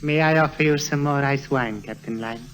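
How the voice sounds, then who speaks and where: A man's voice: medium pitch, normal speed, fairly bright tone, slight background noise, close to an everyday voice. A man playing a dignified older warlord general in an old radio drama, ceremonious, formal and courteous. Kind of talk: 1950s radio drama acting. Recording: vintage radio drama recording.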